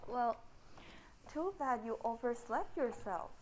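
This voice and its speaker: A woman's voice: fairly high pitch, expressive, slow pace, darkish tone, slight background noise, rough recording. A woman in a student stage play acting a composed, practical young female guest. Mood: composed, curious.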